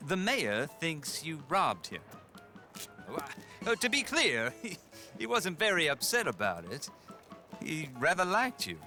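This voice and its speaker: A genteel, awkward man of letters in a game cutscene, hesitant and polite. His voice is bright and highish, with very animated pitch. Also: measured pace, soft background music, full character voice. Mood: nervous, polite. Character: awkward, genteel male writer, refined and hesitant. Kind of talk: video game cutscene acting.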